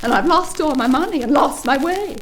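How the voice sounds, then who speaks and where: A woman's voice: sits high, lively intonation, fairly quick, a little hiss or hum, voice pushed up. A woman voicing a conceited male toad who poses as a plaintive old washerwoman in a storybook reading. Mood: plaintive, fretful. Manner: plaintive, wheedling, boastful. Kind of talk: audiobook character acting.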